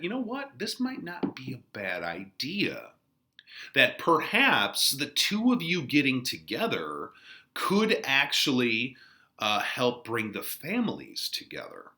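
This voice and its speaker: A man giving informal, colloquial commentary as a literature teacher, in an expressive conversational manner. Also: medium pitch.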